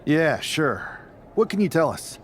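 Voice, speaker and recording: A man's voice: a little high, sing-song, measured pace, a little hiss or hum. An actor playing a scheming, dismissive male wrestler in a video game.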